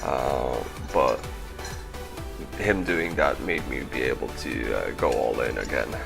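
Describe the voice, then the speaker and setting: Low, gravelly man doing game commentary. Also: expressive, slow, over music. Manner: offhand, casual swearing. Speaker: gaming video creator and event organiser. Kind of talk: casual gameplay commentary.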